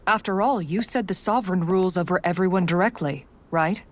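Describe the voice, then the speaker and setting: Woman playing a low-voiced, logical young heroine. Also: a little fast, lightly acted. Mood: questioning. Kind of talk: video game voice acting.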